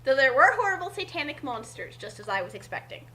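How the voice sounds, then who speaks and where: A woman's voice: sits high, big pitch swings, quick pace, bright, forceful tone, a little hiss or hum. A woman trading comedic banter as a co-host in a playful, mock-dramatic way. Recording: music playing behind. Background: music.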